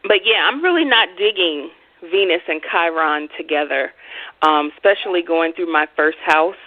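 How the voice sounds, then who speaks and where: A woman's voice: lowish voice, lively intonation, moderate pace, dry recording. A young woman co-hosting an astrology talk show, chatting casually with many ums and easy laughs. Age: young adult. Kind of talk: casual chat. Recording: tinny phone line.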